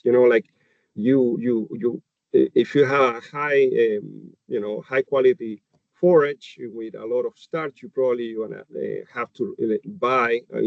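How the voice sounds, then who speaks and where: Man, Chilean Spanish accent, native Spanish speaker, webinar presenter, middle pitch, explanatory, dry, slightly muffled video-call sound. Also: no echo. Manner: explanatory, heavy on "you know" and tag questions like "okay?" and "right?". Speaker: dairy nutritionist presenter.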